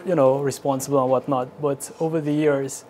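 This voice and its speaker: A man's voice: mid-range voice, level tone, moderate pace, darkish tone. A man with a Guyanese accent giving informal interview answers as an air traffic controller. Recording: clearly noisy location interview.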